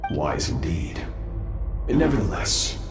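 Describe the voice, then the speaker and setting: A man's voice: low voice, very animated, slow pace, vocal fry, heavily acted. A man playing a self-assured, lofty master warrior in a video game, speaking formally and solemnly. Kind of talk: dramatic video game voice acting. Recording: echoing, distant voice with music behind.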